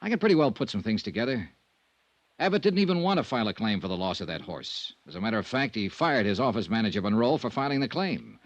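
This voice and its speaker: A man playing a brisk, dogged, wry insurance investigator in a 1950s radio detective drama. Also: lowish voice, very animated, no room sound, dull audio. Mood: pointed. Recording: old radio drama recording.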